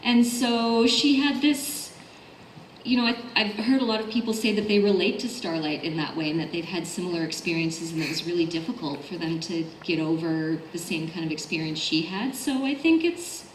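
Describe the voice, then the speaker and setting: A woman's voice: fairly low pitch, normal speed. Woman chatting in an echoey room. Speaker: voice actor on a panel. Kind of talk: casual panel talk. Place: convention panel room. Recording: echoey convention room, faint noise.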